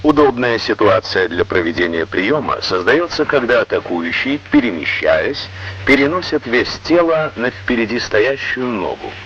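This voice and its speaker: A man reading scripted instructional narration in a formal, measured, didactic way, on an old Soviet educational film soundtrack. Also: mid-range voice, sing-song, fairly bright tone, lo-fi sound, a little hiss or hum.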